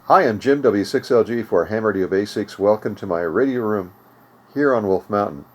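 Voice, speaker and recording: An older man with an American accent giving an informal instructional talk, in halting, measured explanations with pauses. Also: lowish voice. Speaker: host of amateur radio tutorial series. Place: home radio room.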